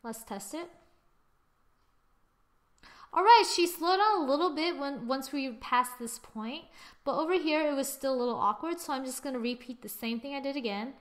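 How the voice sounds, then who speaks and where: A young adult woman giving a casual software tutorial, with a playful, exclaiming manner and little sing-song asides. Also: high voice, moderate pace. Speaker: tutorial presenter. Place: screen-recorded voice-over.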